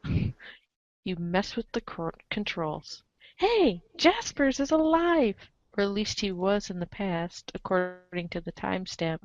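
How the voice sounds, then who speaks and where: A woman narrating a comic story aloud in an expressive read-aloud style, on a slightly dull recording. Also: medium pitch, takes it slowly, no room sound. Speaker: narrator of a group webcomic reading.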